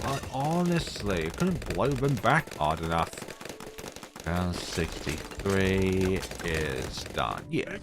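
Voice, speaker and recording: Deep-voiced man rambling over game music. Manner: rambling, chatty, many fillers. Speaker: gaming YouTuber. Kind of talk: casual gameplay commentary. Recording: music playing behind voice.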